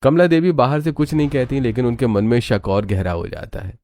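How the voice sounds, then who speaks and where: A man's voice: medium pitch, steady tone, fairly quick, mellow. A man giving scripted storybook narration for a family cartoon.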